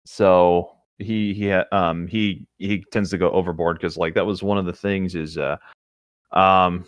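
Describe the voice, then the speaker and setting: A man's voice: low voice, fairly even intonation, a little slow, dry recording, studio quality. A man co-hosting a story-reading show, speaking in an informal, conversational style. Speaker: co-host reading online stories. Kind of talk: casual chat and story reading.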